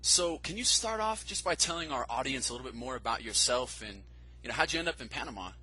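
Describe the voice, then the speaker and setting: A man's voice: mid-range voice, lively intonation, fast talker, a crowd in the background. A man hosting a podcast interview, upbeat and enthusiastic as he asks his questions. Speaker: podcast host.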